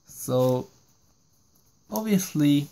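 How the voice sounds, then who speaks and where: A man's voice: on the high side, lively intonation, extremely slow, mellow. A man narrating a step-by-step card game tutorial, explaining each move in sequence. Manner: explanatory, walking through moves in sequence. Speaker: trading card game YouTuber.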